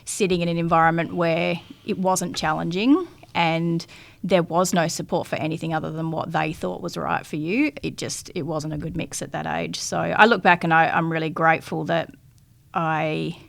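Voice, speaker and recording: Gravelly-voiced woman in her forties. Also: sits low, fairly even intonation, moderate pace. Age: 40s. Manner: candid storytelling with frequent restarts. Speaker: guest. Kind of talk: conversational podcast interview.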